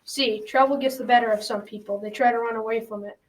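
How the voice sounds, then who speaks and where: Young girl acting folksy old grandfather, measured, flat intonation, school radio drama, slightly distant mic. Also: on the high side, close to an everyday voice. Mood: kindly, folksy. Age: child or teen. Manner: folksy, measured advice-giving.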